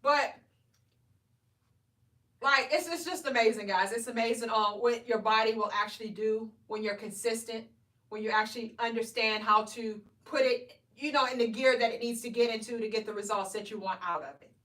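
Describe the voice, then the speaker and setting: High bright-voiced motivational woman in her 40s. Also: normal speed, roomy sound, far from the mic. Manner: energetic, preachy, keeps asking "right?". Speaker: weight loss coach. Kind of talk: live stream motivational talk.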